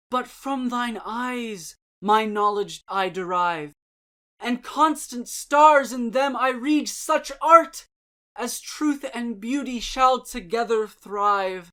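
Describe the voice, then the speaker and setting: A woman giving a dramatic reading of poetry and prose in a measured, deliberate, theatrical way. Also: on the high side, bright, forceful tone. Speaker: channel host and reader.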